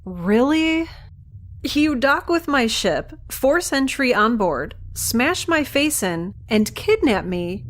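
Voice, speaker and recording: A woman's voice: a little high, a little hiss or hum. A woman playing a captive young scientist in a science fiction audio drama, wry, plain-spoken and sarcastic as she bargains.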